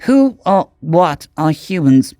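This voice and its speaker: A man's voice: a little high, big pitch swings, normal speed, a little mellow, no room sound, studio quality, soft background music, voice pushed up. A man playing a stammering nervous alien envoy. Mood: frightened. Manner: stammering, anxious. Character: nervous young male alien envoy, easily rattled.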